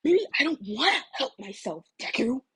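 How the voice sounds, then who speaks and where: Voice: high-pitched, very animated, moderate pace, slightly rough, no room sound, studio quality, voice pushed up, exaggerated voice. A woman voicing a furious, combative teenage boy who taunts in a storybook reading. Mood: surly defiant. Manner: shouting, taunting.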